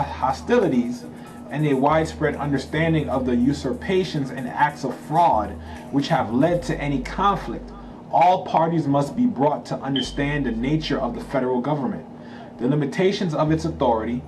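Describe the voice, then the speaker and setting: A man's voice: medium pitch, low-quality recording. A man from Haiti, a Creole speaker, reading a document aloud and breaking off to explain it in casual commentary, checking in with listeners. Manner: emphatic, checks in with listeners, slangy asides. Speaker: channel host.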